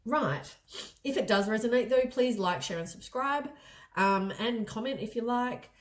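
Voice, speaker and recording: A woman's voice: fairly high pitch, lively intonation, measured pace. A woman with a stuffy, congested voice from a head cold, giving a casual tarot card reading. She rambles and often corrects herself mid-sentence. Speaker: tarot reader.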